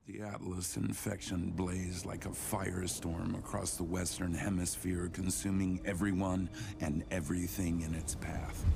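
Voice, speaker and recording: A man playing a haunted, brooding monster of a man, narrating a game cutscene in a grim, gravelly voice. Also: low-pitched, exaggerated voice. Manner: grim, measured. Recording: loud music behind.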